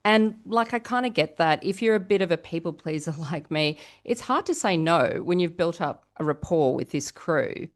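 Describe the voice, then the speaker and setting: A woman with a fairly low-pitched voice hosts a gossip podcast about reality TV. She talks casually and chattily, addressing listeners directly with rhetorical questions. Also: expressive, moderate pace, hardly any pauses. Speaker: podcast host.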